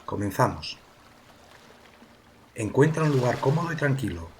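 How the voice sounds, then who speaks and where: A man narrating a guided meditation as its guide, speaking calmly and soothingly in slow phrases with pauses. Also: medium pitch, slight background noise. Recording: ocean waves behind the voice. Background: sea waves, water ambience.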